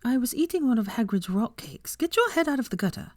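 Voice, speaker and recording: Woman acting young woman, high-pitched, bossy, exasperated, emphatic questions, storybook dialogue. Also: very animated, fast, a little mellow, no room sound, studio quality. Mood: indignant.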